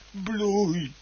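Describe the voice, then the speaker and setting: An actor playing a deranged, murderous husband obsessed with his plant in a radio drama, halting and repeating himself. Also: high voice. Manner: halting, muttering, repeating words, manic laughter. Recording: dull, noisy old radio recording.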